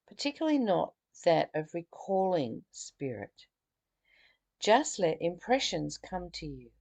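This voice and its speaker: A woman leads a spiritual study, reading aloud and adding her own reflections. She speaks very slowly, in a lowish voice with a creaky edge. Her delivery is earnest and a little halting, with phrases repeated as she goes. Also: a little mellow. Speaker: spiritual teacher leading a book study. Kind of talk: reading aloud with spoken commentary.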